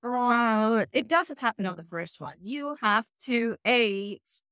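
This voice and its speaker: Woman from pakistan, non-native english speaker, frank energetic guest, dry close sound. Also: on the high side, takes it slowly, smooth, no room sound. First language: not English. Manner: frank, energetic, emphatic advice. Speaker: guest, freelance writer. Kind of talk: casual interview talk.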